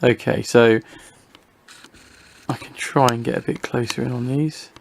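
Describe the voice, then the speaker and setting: A man's voice: mid-range voice, steady tone, measured pace, gravelly, a little hiss or hum. A British man giving a casual, unscripted hobby review, talking through what he shows in a hesitant, rambling way, thinking aloud.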